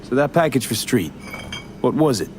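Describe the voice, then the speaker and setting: An actor playing a laconic, hardened mercenary in a video game, terse and understated. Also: male voice, medium pitch, big pitch swings, moderate pace, slight background noise, natural voice. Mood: quiet, reflective. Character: laconic, hardened male mercenary, former nomad. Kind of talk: video game cutscene acting.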